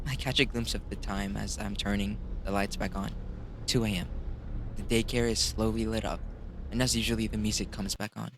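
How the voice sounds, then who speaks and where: Low-voiced man reading a game aloud. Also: fairly even intonation, fairly quick, noisy background. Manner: stumbles and corrects himself while reading. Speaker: gaming video host. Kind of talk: reading visual novel aloud with casual commentary. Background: music.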